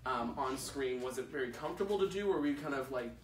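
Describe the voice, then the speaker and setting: A man hosting a casual interview show, chatty and quick to laugh, swearing freely as he asks questions. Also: medium pitch, animated, distant mic, slight background noise. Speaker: talk show host. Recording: roomy, off-mic with faint ambience.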